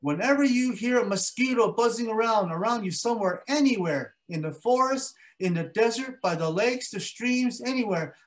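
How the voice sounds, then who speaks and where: A man's voice: extremely high pitch, expressive, moderate pace, few pauses, voice pushed up. A man voicing a tiny, bold male mosquito who sternly scolds a bully in a spoken folk tale.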